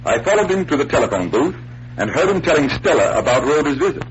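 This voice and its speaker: An actor playing a confident, teasing magician detective in a 1940s radio mystery, with theatrical, precise delivery. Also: male voice, on the high side, big pitch swings, fast talker. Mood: showmanlike, assured. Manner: theatrical, precise, playful taunting. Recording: muffled hissy vintage radio recording.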